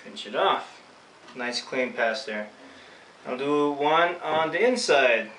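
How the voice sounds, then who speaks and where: Echoey, bright-voiced Filipino-accented man. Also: mid-range voice, expressive, slow. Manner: casual, unscripted, talks through each step. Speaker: screen printing instructor. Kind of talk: informal hands-on tutorial. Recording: echoey room, distant mic, faint noise.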